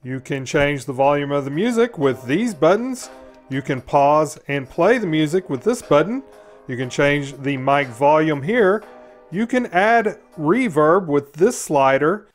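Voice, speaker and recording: A middle-aged man delivers a spoof sales pitch in announcer style. His voice sits a little high and moves around a lot, with music behind it. Every selling point gets exaggerated emphasis. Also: animated, a little slow. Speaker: host of a parody product video. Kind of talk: parody infomercial announcer narration.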